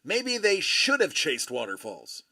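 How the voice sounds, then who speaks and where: Man, stream host, casual rambling chat, joking storyteller, lively pitch, gravelly edge. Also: a little slow, no echo. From Buffalo area, USA. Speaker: host of a live card break stream.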